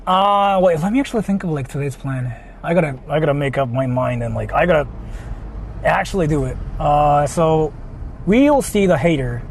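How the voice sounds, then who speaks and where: Guy w japanese accent streaming while driving, kinda high voice, rambles and repeats himself, bit of road noise. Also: expressive, moderate pace. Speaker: livestreamer. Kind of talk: casual livestream chat, reading donations. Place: inside a car while driving. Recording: noisy moving car interior.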